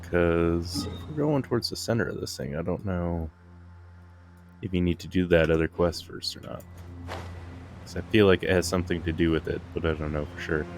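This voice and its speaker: A man's voice: deep voice, normal speed, faint music behind. A man giving casual commentary as he plays a video game. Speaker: gaming streamer.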